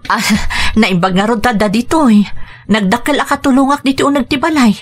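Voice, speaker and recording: Babae a mangiyak-akem iti naanus nga ina iti drama. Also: female voice, fairly high pitch, fairly quick, close to an everyday voice. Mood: earnest, pleading. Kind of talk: Ilocano radio drama acting.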